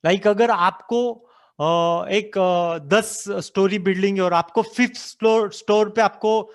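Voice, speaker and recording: A man's voice: high-pitched, fairly even intonation, slow. A man in his thirties from India, a business coach giving a motivational talk who asks the listeners rhetorical questions. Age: 30s.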